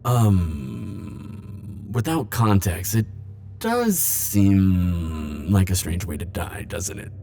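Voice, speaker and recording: A man playing a defensive, lovelorn immortal in an ASMR roleplay, speaking hesitantly with stammers and halting phrases. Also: low-pitched, big pitch swings, gravelly, warm tone, faint music behind, full character voice. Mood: evasive, awkward. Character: flirtatious, defensive male immortal pining for Grim Reaper. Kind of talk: ASMR roleplay monologue to the listener.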